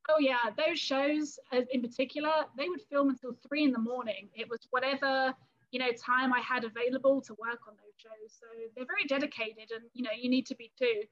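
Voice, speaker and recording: A bright, high-pitched woman with a London-area English accent talks in an easy, chatty way and keeps acting out little quoted scenes. The recording is a bit muffled and dull. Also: steady tone, fairly quick. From England, London area. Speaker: cake artist and business owner, guest. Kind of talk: casual interview talk.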